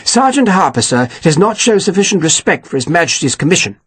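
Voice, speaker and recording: A man's voice: a little high, very animated, brisk, fairly bright tone, slightly rough, dry recording, voice pushed up, full character voice. A man voicing a fussy, indignant army captain who complains and keeps saying sir. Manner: prim, complaining, sticks "sir" on everything.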